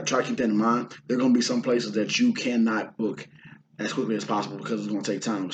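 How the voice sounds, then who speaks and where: A hoarse man from the Houston area chatting casually about his indie film shoot, rambling with lots of fillers and restarts. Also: fairly low pitch, slight background noise. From Houston, Texas area. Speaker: indie filmmaker and actor. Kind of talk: casual vlog talk.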